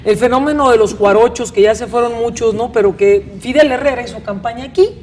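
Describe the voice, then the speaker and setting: A woman's voice: on the high side, brisk, darkish tone. A woman with a northern Mexican accent hosting a conversational panel interview, talking in a rambling, questioning way with frequent tag questions. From Monterrey, northern Mexico. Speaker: talk show host.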